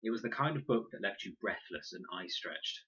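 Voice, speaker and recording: A man's voice: lowish voice, expressive, a little fast, sharp tone, slightly rough. A man narrating a children's adventure audiobook expressively, on a dull, lo-fi recording. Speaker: reader, narrator.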